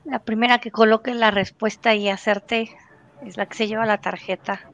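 A woman's voice: on the high side, fairly even intonation, moderate pace, a bit bright, no room sound. A woman co-hosting an online workshop, giving the audience brief instructions over a video call. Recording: dry, dull video-call audio.

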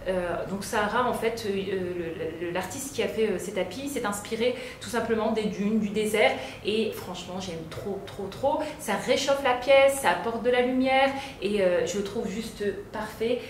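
A woman's voice: mid-range voice, moderate pace, hardly any pauses. A woman in her thirties, a home decor vlogger chatting casually to camera, with many fillers and restarts. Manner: lively hand gestures, many fillers and restarts. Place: bright living room, indoors. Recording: roomy echo, faint background noise.

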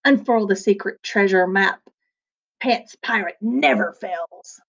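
A woman voicing a bossy male pirate captain who barks slow commands in a storybook read-aloud. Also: medium pitch, creaky, a bit bright, full character voice. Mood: commanding.

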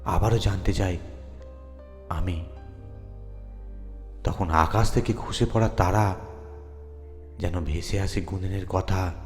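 A man narrates a horror story in the first person, with theatrical, deliberate phrasing. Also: sits low, fairly even intonation. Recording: long reverb, music underneath. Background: background music.

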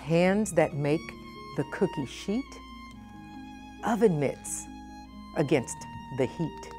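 A middle-aged woman presenting a children's storytime, speaking expressively and with deliberate phrasing for young listeners. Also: low voice, expressive, mellow, faint music behind. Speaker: guest storytime reader, nonprofit president. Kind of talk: children's picture book read-aloud. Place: indoor storytime set at a library.

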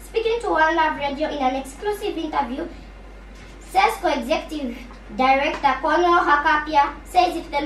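A young Zambian girl with a very high voice reads scripted news. The sound is echoey and away from the mic. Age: teenage school pupil. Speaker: pupil newsreader. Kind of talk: scripted radio news reading. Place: small radio studio, recorded by camera. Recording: echoey, distant mic, faint noise.

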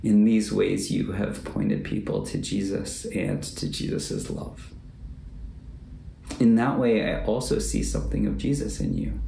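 A male pastor delivers a thoughtful sermon in a heavily creaky, gravelly voice with a mellow tone. He speaks deliberately and leans on repetition for emphasis. The room adds noticeable echo around his words. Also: fairly low pitch. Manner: measured, deliberate, repeats phrases for emphasis. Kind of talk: reflective sermon to camera. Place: book-lined home office or study, indoors. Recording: roomy echo, faint background noise.

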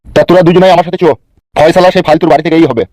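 A man's voice: a little high, steady tone, very fast, darkish tone, no room sound, close to an everyday voice. A man voicing a hot-headed, foul-mouthed village uncle in a comedy cartoon, with a blustering manner and crude slang. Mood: lecturing.

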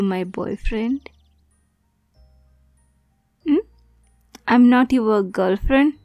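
A woman's voice: fairly high pitch, warm tone, no room sound, clean studio sound. A woman playing a young, obsessive yandere girlfriend kidnapper in an ASMR roleplay, cutesy and teasing with sweet menace. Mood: sweetly teasing. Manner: slow, cutesy, teasing, breathy asides.

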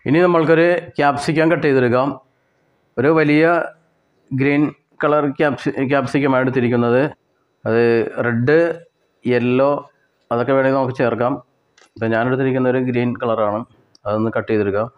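A man with a Kerala Malayali accent giving step-by-step cooking instructions in a plain way, with pauses and repetitions. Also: medium pitch, steady tone, lo-fi sound. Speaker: home cook presenter. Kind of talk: step-by-step recipe narration.

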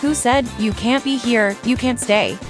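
A woman's voice: on the high side, unhurried. A woman reading scripted quiz narration as a host, in a voice-over. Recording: music playing behind the voice.

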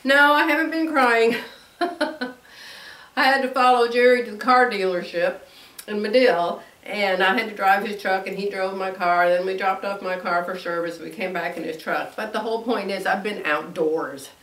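A woman's voice: mid-range voice, normal speed, fairly bright tone. A woman in her sixties giving a casual devotional talk, a little wheezy from allergies, chatty and laughing often. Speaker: devotional host. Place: room with a quilt backdrop. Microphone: camera at a distance, standing at a lectern. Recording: roomy and distant.

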